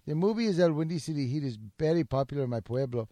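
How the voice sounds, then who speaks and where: A man reading a letter as an adult male superfan who writes in broken English, with halting delivery. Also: fairly high pitch, animated, warm tone, no room sound, lightly acted. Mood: earnest.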